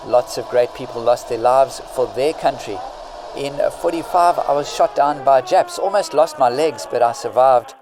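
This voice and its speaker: A man's voice: mid-range voice, moderate pace, lightly acted. A man playing a wry, world-weary former pilot turned detective in a radio drama. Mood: reflective. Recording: clear vehicle noise behind.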